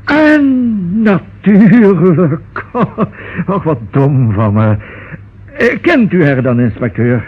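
A man's voice: high voice, big pitch swings, very slow, breathy edge, full character voice. A man acting a fussy, nervous, forgetful solicitor in a radio play, with hesitant, exclamatory speech. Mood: flustered. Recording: muffled, band-limited old radio recording.

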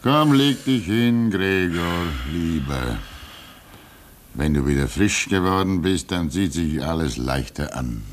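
An actor in a German radio play as a gentle, fatherly veteran of a lonely polar station, soothing, hesitant and measured. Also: deep voice, expressive, heavily acted.

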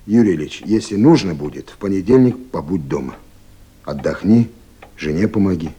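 An actor playing a tired, fatherly middle-aged Soviet official who repeats himself haltingly in a radio drama. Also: deep voice, lively intonation, mellow, a little hiss or hum. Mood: fatherly concern. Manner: repeats phrases, paternal, halting.

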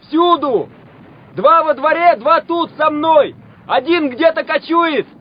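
High-pitched, bantering young artillery officer. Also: male voice, slow, dull audio. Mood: excited, bantering. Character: excited, cheerful young male Soviet artillery officer.

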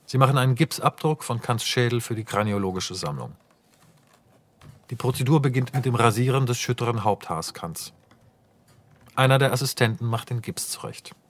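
Calm male radio play narrator. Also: medium pitch, moderate pace. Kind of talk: scripted narration of stage directions.

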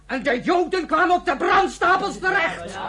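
An actress playing a fervent, embittered pioneer rallying a crowd in a radio play, declaiming with rhetorical questions. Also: female voice, extremely high pitch, lively intonation, moderate pace. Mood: bitter, impassioned. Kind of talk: impassioned radio play oratory.

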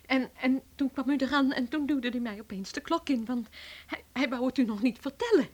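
A woman's voice: high-pitched, fairly quick, darkish tone. A woman playing a pert, practical young helper in a children's comic radio play, chatty and matter-of-fact. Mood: sheepish, confiding.

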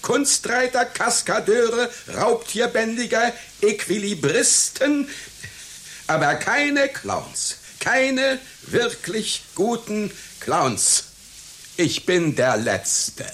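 An actor playing a vain, melancholy aging circus clown in a radio play, pompous and halting, with stammers. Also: male voice, high voice, animated, fairly bright tone, husky, exaggerated voice. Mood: lamenting, declamatory. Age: older. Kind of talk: satirical radio play acting. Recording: hissy radio play recording.

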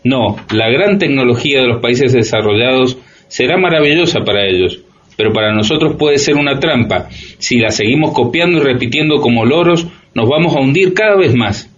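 A man's voice: medium pitch, expressive, normal speed. Casting: male commentator, middle register, emphatic, dull lo-fi radio sound.

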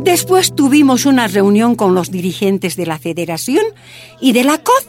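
A woman's voice: on the high side, sing-song, moderate pace, a little mellow, soft background music. A woman playing an emotional hunger striker who recalls her ordeal in a radio drama. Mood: moved. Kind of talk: testimonial radio drama acting.

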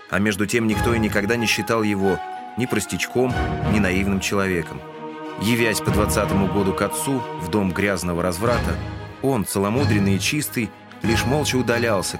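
A man narrating a literary audio drama in a measured, solemn and expressive manner. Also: fairly low pitch. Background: prominent music.

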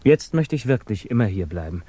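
A man playing a guarded, evasive émigré physicist under suspicion in a 1950s German radio drama, speaking formally and hesitantly, quietly troubled. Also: lowish voice, sing-song, brisk, slightly rough, dry recording. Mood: earnest, wistful.

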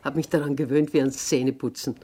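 An actress playing a strong-willed, shrewd seventy-year-old aunt in a 1960s radio play, brisk and admonishing. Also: female voice, very deep voice, steady tone, warm tone, breathy edge. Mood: frank, measured. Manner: brisk, admonishing, matter-of-fact. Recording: 1960s radio recording with background noise.

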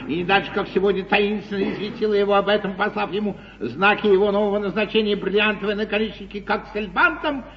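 A silky, scheming court gentleman played by a male actor in an old stage comedy. His high voice mocks with courtly politeness and theatrical flourish. It is picked up from far off, with faint noise and a dulled tone. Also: close to an everyday voice. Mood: amused, teasing. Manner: mocking, courtly, grandly drawn-out delivery. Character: suave, teasing male aristocrat schemer at the English court. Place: large theatre stage before an audience. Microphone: distant stage microphone. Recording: muffled, noisy 1950s live theatre recording, distant.

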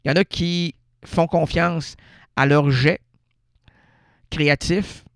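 A Québécois man rambling playfully on the radio, drifting from one thought to the next and talking back to himself. His voice is fairly high for a man, with a gravelly edge. The studio sound is close and dry. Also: fairly even intonation, slow, dry recording. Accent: Québécois French. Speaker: radio host. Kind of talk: rambling absurd monologue.

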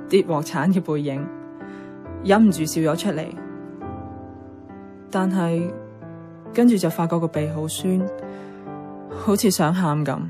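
Sentimental young hong kong woman, radio drama, bit low pitched, music clearly behind her. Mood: tearful, tender. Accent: Hong Kong Cantonese. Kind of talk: radio drama acting with first-person narration. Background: music, plucked guitar.

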